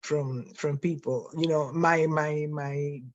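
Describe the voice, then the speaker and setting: A woman's voice: low voice, fairly even intonation, slow, dry recording. Older woman with a Spanish accent. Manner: reflective, with frequent restarts and fillers. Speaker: panel speaker. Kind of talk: conversational panel talk. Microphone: video call. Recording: dry, dull lo-fi call audio.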